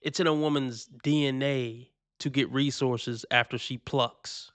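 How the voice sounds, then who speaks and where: A man's voice: medium pitch, fairly even intonation, a little slow, fairly bright tone, dry recording. Slangy laughing male stream host. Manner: slangy, laughing, repeats himself for emphasis. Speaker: streamer reacting to clips. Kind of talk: casual reaction commentary.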